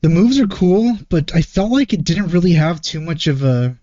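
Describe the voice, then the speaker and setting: A man giving casual reaction commentary as a host, hesitant and rambling as he thinks out loud. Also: on the high side, expressive, moderate pace, no echo. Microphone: wrong microphone, close and dry. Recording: dull, muffled lo-fi microphone.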